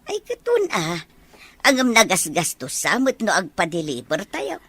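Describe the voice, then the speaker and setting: A woman playing a caring, middle-aged mother in an Ilocano audio drama, fussing and expressive. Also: medium pitch, unhurried, husky, dry recording, exaggerated voice. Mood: chatty. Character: caring, lively mother of a grown daughter.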